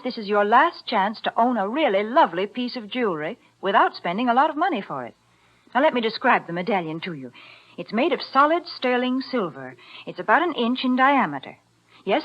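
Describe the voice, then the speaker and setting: 1940s commercial announcer: bright-mannered, sensible, lilting delivery, selling to listeners on old radio. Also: female voice, a little high. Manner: chatty, persuasive. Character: sensible, cheerful female sponsor spokeswoman. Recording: muffled old radio recording.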